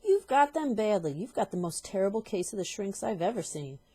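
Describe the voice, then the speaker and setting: A woman voicing a nasty old husband who taunts his wife in a loud, shouty way. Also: mid-range voice, sing-song, a little fast, husky. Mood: gloating taunts.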